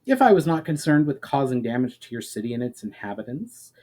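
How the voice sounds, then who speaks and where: A man acts out a male elf spell-weaver in a fantasy storybook. He is calm and courteous, with formal, measured phrasing. He explains things patiently and admonishes gently. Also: mid-range voice. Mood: explanatory.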